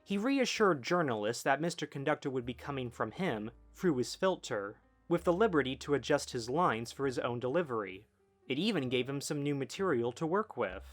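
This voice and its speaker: A man's voice: mid-range voice, sing-song, moderate pace. A man reading scripted documentary narration as a video essay narrator. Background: background music.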